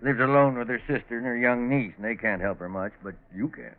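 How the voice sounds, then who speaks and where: An actor playing a genial, neighborly middle-aged farmer, folksy and hesitant, in 1940s radio drama style. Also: male voice, mid-range voice, fairly quick, close to an everyday voice. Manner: folksy, hemming and chuckling. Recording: muffled old 1940s radio recording.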